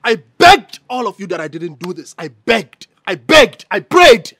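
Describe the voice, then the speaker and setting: An actress with a Nigerian accent playing a wronged, hot-tempered woman in a screen drama, loud and confrontational. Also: a little high, big pitch swings, moderate pace. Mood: bitter, anguished.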